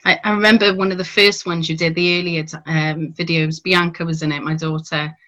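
A woman's voice: fairly low pitch, flat delivery, a little fast, bright, forceful tone. A middle-aged woman hosting a podcast in a conversational interview style. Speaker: podcast and radio host interviewing a guest.

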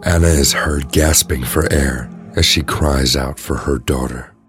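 A man's voice: very deep voice, steady tone, unhurried, a bit bright, soft background music. A man giving scripted dramatic narration for an audio drama.

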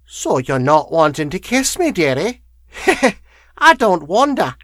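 Seeking a man to play a sly, coarse slum woman for a storybook: high, wheezy, wheedling, wildly swooping. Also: sing-song, slow, a bit bright, no room sound, studio quality, full character voice. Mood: bitter, mocking. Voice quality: wheezy, chuckling. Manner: wheedling, coarse, addresses listener familiarly. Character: bent, wheezy, cunning middle-aged slum woman.

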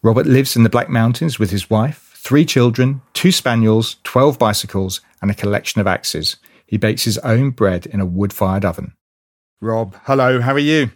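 A man with a slightly low-pitched voice presents a podcast. His delivery shifts between prepared introduction and conversational questioning. The sound is dry, with very little room around him. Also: normal speed, no echo. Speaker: podcast host. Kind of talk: scripted introduction, then conversational interviewing.

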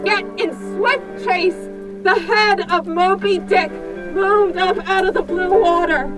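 A man's voice: extremely high pitch, steady tone, slow. An actor playing an anguished father, a whaling captain, in an audio drama, with emphatic, beseeching delivery. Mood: urgent. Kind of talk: theatrical audio drama acting. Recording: distant voice under loud music.

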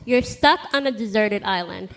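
A woman's voice: mid-range voice, background noise. A young woman hosting a casual podcast chat, playful and teasing. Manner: playful, teasing, quick reactions. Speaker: podcast host.

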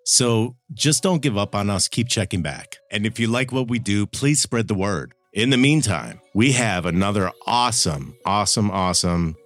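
A man's voice: lowish voice, lively intonation, moderate pace, few pauses, a bit bright. A man hosting a podcast in casual chat, with a wry, blunt manner. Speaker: podcast host. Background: background music.

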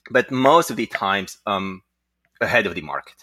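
A man speaking as a guest entrepreneur, telling his story conversationally with frequent halting asides. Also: lowish voice, animated, pushed and bright, no echo. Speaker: guest, tech entrepreneur and inventor.

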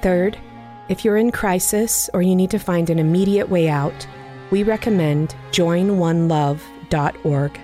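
A woman hosting a podcast, reading a scripted announcement to listeners in a measured, earnest way. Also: on the low side, mellow, soft background music. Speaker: podcast host.

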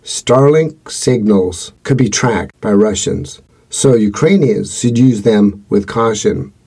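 Low, husky-voiced male podcast host. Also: lively intonation, slow pace, mellow. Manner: earnest, reads from a script. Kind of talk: scripted podcast narration.

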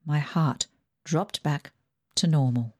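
A woman reading a storybook aloud in a lively, expressive narration. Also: low-pitched, takes it slowly, breathy edge. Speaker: audiobook reader.

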